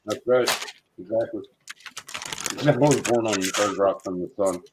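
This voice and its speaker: A man hosting a casual livestream chat over a video call, easygoing and quick with short exclamations. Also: on the low side, very noisy. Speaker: host of a hobby livestream.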